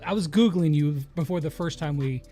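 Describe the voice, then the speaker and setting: A man's voice: on the high side, a little fast, warm tone, faint music behind. A man hosting a casual, conversational interview. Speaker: interview host.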